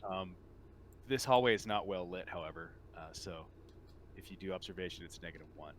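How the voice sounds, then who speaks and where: A man's voice: low voice, a little fast, a bit bright. A man narrating a tabletop roleplaying game as game master, describing things in a conversational, hesitant way. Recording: noticeable background noise.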